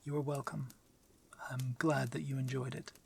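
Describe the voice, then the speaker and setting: A man performs a male vampire lord for an intimate ASMR roleplay. His speech is formal and measured, courteous but used to being obeyed. The soft, protective voice often sinks toward a whisper, over light background noise. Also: medium pitch, little pitch movement, vocal fry, close to an everyday voice. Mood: tender, insistent.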